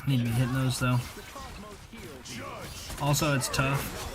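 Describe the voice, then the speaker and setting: A man's voice: mid-range voice, flat delivery, unhurried, music in the background. A man giving casual, slangy, conversational voice-over commentary on video gameplay, as a gaming video creator.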